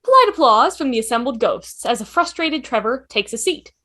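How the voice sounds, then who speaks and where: A woman narrates a script's stage directions for a table read. Her voice is high, with very animated intonation as she reads. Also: a little fast.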